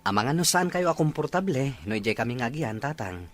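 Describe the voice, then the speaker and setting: A man's voice: mid-range voice, animated, fast, close to an everyday voice. A man playing a respectful, caring, easygoing young husband in an audio drama, with an Ilocano accent. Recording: music bed under the voice.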